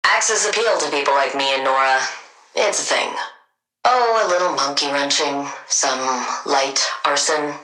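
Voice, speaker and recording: Video game villainess, low-pitched, crisp edge, cold deadpan scientist, slightly roomy sound. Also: female voice, unhurried, a little hiss or hum, full character voice. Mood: measured, guarded. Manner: dry, clipped, deadpan sarcasm. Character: cold, sardonic female genius scientist villain devoted to her wife.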